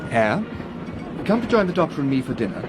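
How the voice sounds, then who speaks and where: Urbane, animated male professor, acted by a man. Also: mid-range voice, a little fast, music in the background, close to an everyday voice. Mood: genial, weary. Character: urbane, patronising professor, politely weary. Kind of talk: British radio drama acting.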